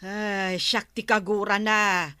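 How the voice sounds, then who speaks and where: A woman's voice: mid-range voice, little pitch movement, takes it slowly, bright, forceful tone, smooth. An actress playing a gossipy, grumbling village woman in an Ilocano comedy radio drama, with an Ilocano accent and drawling exclamations.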